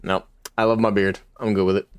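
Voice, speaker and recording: A man's voice: on the low side, level tone, a little fast, smooth, no room sound. A man in his twenties streaming a game live, chatting casually with his chat in a rambling, self-deprecating way and laughing often. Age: 20s.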